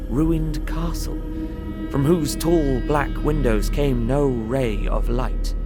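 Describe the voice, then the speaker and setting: Young solicitor, played by a male actor for audio drama: frightened, earnest, deliberate storyteller, mid-pitched with a creaky edge. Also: close to an everyday voice. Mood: awed, fearful. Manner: formal, expressive, deliberate storytelling. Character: frightened, earnest young English solicitor recounting his journey. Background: music behind the voice.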